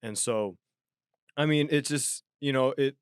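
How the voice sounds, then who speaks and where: A man's voice: medium pitch, a little slow, clear, resonant tone, dry recording, studio quality. A young man from Japan co-hosting a podcast, talking earnestly in a casual chat with frequent restarts. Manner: earnest, animated, many restarts. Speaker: podcast co-host.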